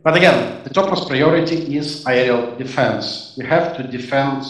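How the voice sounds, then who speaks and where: A man giving formal, measured answers to audience questions at a university event, pausing between phrases. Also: medium pitch, fairly bright tone. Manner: measured, deliberate, with short pauses between phrases. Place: university auditorium. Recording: roomy hall, voice from a distance.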